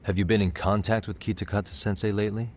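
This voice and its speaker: Low-voiced, composed male detective, played by an actor. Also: background noise, close to an everyday voice. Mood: probing, measured. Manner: calm, measured, politely probing questioning. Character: composed, persistent male private detective and lawyer, adult. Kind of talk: English-dubbed video game cutscene acting.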